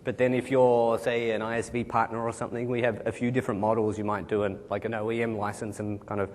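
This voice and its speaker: A man presenting at a tech conference on a large stage, talking quickly and informally while losing his voice. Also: little pitch movement, darkish tone. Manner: quick, informal, kind-of fillers. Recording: hall reverb, faint rumble.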